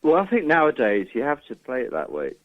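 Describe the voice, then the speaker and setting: A man's voice: mid-range voice, wildly swooping pitch, a little fast. A man being interviewed as a musician guest in casual chat, hesitant and easygoing, over a narrow phone-like connection. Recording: narrow, muffled phone-like call.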